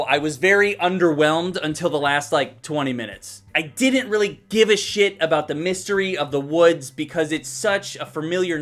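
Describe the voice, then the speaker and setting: Guy doing a casual blunt movie review, kinda high voice, talks like he's chatting, music under it. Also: fairly bright tone. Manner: conversational, blunt, swears casually. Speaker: film reviewer.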